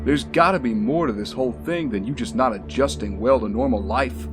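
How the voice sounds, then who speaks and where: An actor playing a blunt, protective former bodyguard in an audio drama, firm and reproving. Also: male voice, medium pitch, fairly quick, lightly acted. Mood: frank, challenging. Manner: firm, plain-spoken, reproving. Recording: dramatic music behind the voice.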